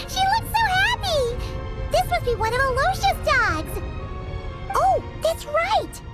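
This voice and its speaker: Squeaky, excitable little fairy girl. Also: slow, pauses often, faint music behind, full character voice. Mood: excited. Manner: exclamatory, childlike, refers to self by name. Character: small excitable floating fairy girl companion, cheerful and chatty. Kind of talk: video game voice acting.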